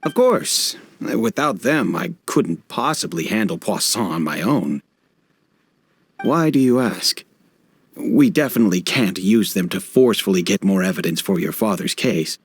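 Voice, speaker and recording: Low-voiced, animated, easygoing guard officer. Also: male voice, a little slow, breathy edge, full character voice. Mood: helpful. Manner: casual, chatty, jokingly self-deprecating. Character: easygoing, wry guard officer, affable adult man. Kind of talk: video game dialogue acting.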